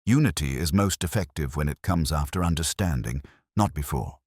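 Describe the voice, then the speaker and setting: A man voicing a calm, kindly police inspector in a mystery story, speaking in a measured, formal, gently lecturing way. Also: extremely low pitch, breathy edge, no echo, studio quality, heavily acted. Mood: patient, formal.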